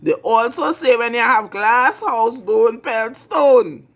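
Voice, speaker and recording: A man's voice: very high voice, expressive, slow, a bit bright. A man with a Trinidadian accent hosting his own talk show, giving a blunt, emphatic opinion monologue. He repeats his points for emphasis and swears freely. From Trinidad and Tobago. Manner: emphatic, repetitive, blunt and profane. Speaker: host of an online talk show.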